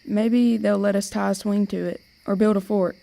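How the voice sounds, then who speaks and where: A protective, teasing older sister , acted by a young woman in an amateur radio drama. Her voice is soft-edged and mellow, with a noticeable creak. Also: mid-range voice, fairly even intonation, moderate pace, lightly acted. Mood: brisk. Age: teenager or young adult. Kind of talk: amateur radio play acting.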